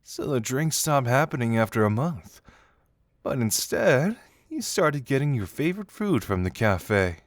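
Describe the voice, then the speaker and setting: A playful young male detective, acted by a man in an intimate audio roleplay. He is teasing and theatrical, musing aloud to the listener with very animated intonation. The sound is dry, with no room around it. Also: mid-range voice, a little slow, no echo. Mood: teasing, intrigued. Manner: teasing, theatrical, musing hums and soft chuckles.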